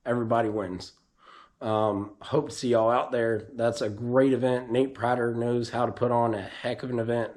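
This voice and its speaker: Hoarse man rambling through a vlog. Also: medium pitch, a little mellow. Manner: rambling, with frequent ums and restarts. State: losing his voice, hoarse from allergies. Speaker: drag racer and vlogger. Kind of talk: casual vlog update.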